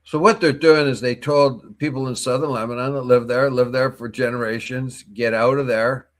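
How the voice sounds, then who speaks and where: A man's voice: medium pitch, fairly even intonation, moderate pace. A man co-hosting a local morning news talk show, talking through stories in a rambling, opinionated way with many restarts. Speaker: co-host.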